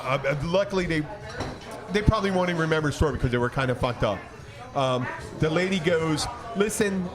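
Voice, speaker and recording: Guy with a high voice, bartender hosting a chatty show, swears a lot, rambles, busy bar behind him. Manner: rambling, animated, profane, acts out conversations. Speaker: podcast host and bartender. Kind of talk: casual bar banter and storytelling.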